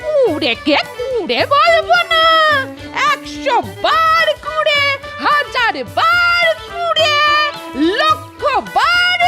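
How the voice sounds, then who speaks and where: A woman's voice: very animated, takes it slowly, exaggerated voice. A woman voicing a bossy, quarrelsome old granny, the elder sister, in a cartoon. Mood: scolding. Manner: scolding, shrill, quarrelsome. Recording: cartoon voice over loud music.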